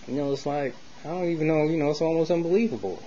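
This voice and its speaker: A man's voice: a little high, fast talker, dark tone. An American man in a casual, rambling vlog monologue, speaking colloquially with street slang and often repeating himself as he circles back. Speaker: vlogger sharing opinions. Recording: dull lo-fi sound with faint noise.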